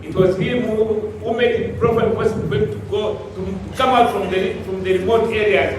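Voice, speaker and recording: A man's voice: high-pitched, steady tone, a little fast, background noise. A man with a Zambian accent interpreting phrase by phrase for a memorial gathering. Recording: very echoey hall, far mic, audience murmur.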